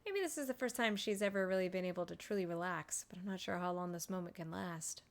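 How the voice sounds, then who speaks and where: A woman's voice: on the low side, quick pace. Playful woman streaming a video game. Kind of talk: casual live reactions to a video game.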